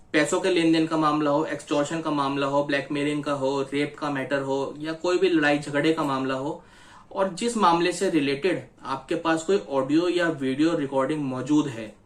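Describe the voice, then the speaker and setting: A legal advisor with an Indian accent presents an explainer in a mid-pitched voice. The delivery is methodical and instructive, stressing key points by repeating them. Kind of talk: explanatory lesson addressed to viewers.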